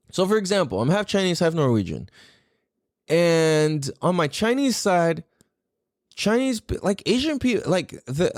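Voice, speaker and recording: Animated, casual man with lively pitch swings. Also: dry recording, studio quality. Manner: animated, rambling, frequently swearing. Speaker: podcast host. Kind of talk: casual opinionated podcast talk.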